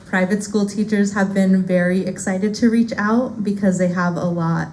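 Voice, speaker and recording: A woman's voice: mid-range voice, fairly even intonation, moderate pace, a little mellow, a little hiss or hum, low-quality recording. A woman whose first language is Spanish, a poet casually answering audience questions at a bookstore reading, with lots of fillers. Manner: casual, chatty, many fillers and "like". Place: bookstore loft.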